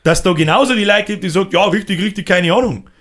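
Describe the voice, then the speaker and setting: A man's voice: sits high, fast, fairly bright tone. A man with a Bavarian accent in casual podcast chat, blunt and rambling, swearing freely and restarting his sentences. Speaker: podcast co-host.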